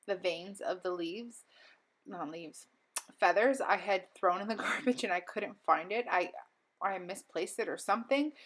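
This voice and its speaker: A young woman recovering from a cold, narrating a crafting voiceover at home in a rambling, chatty way with lots of ums and little laughs. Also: medium pitch. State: slightly stuffy, recovering from illness. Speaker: crafting vlogger. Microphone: voiceover mic.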